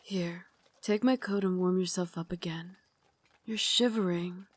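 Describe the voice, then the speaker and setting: Victorian vampire lady, female actor, ASMR roleplay. Refined, formal, caring soft dominance; slightly deep voice, very dry sound. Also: steady tone, measured pace, no echo. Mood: doting, composed. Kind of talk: ASMR roleplay, slow intimate scripted acting.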